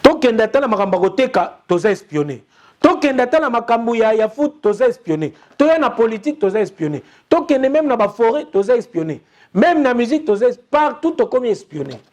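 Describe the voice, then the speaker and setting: A man's voice: very high voice, very animated, brisk, a little mellow. A young man with a Congolese accent giving urgent, insistent news commentary and repeating his appeals to listeners. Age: young adult. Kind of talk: impassioned commentary.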